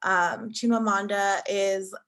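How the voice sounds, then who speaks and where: A woman reading a prose essay aloud at a literary event in a measured, earnest way. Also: mid-range voice, steady tone, fairly bright tone. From Africa. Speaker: event reader.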